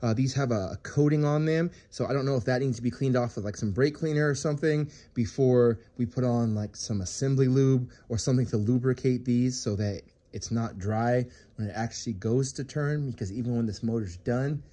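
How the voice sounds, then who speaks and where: A man's voice: mid-range voice, a little fast, few pauses, mellow. A man filming himself in his home garage as he works on an engine, chatting casually about the build and joking at his own expense. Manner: chatty and self-mocking, jokey asides. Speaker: car hobbyist vlogger. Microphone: phone camera held while working.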